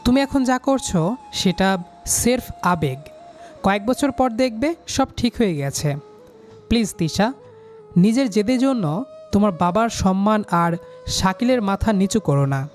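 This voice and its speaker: A man's voice: high-pitched, animated, measured pace, long pauses, faint music behind. A man voicing a stern, dutiful young man in an audio love story. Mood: firm refusal.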